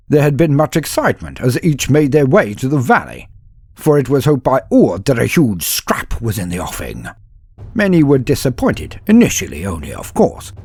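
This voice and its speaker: A man with a husky, slightly breathy voice tells a comic tale in a grand, mock-heroic manner. His pitch swings widely as he drops into dry asides, with soft music playing under him. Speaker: narrator of a fan story. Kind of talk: mock-epic comedic narration.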